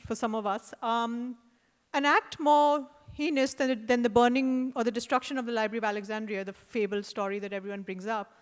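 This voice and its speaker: A woman with an Indian accent, an artist reading a prepared lecture in a measured, deliberate way, with wry asides and hesitant fillers. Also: on the high side. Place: lecture hall at an art event. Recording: long hall reverb.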